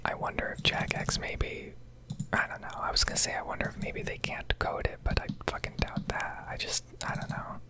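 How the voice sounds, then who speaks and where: A woman whispering a rambling, casually sweary ASMR commentary over gameplay, close to the microphone. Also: moderate pace. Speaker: game streamer.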